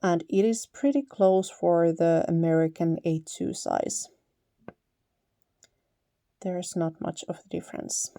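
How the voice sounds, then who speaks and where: A woman's voice: fairly low pitch, takes it slowly, creaky, pauses often, no echo, clean studio sound. A woman from Finland with a Finnish accent, chatting casually through a paper-crafting tutorial, correcting herself now and then. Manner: relaxed, chatty, laughs lightly, self-correcting. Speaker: paper crafter, channel host.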